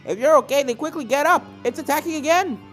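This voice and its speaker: A woman's voice: high voice, fast, faint music behind, pitched above the performer's own voice, lightly acted. A woman voicing an encouraging adult male ghost-hunter pony, a hearty cartoon mentor who talks instructively. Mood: urgent.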